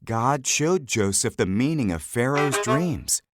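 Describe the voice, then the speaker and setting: Slow, expressive storyteller over loud music. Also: medium pitch. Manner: measured, simple storytelling in short phrases. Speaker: narrator. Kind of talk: scripted children's Bible story narration. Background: loud background music.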